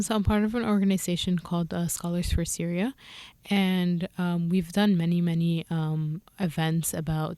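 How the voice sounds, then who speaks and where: Young female student, low and even, hesitant talker with lots of "um" and "like". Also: dry recording. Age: young adult. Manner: hesitant, many fillers like "um" and "like". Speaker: student club member. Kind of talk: casual interview answers.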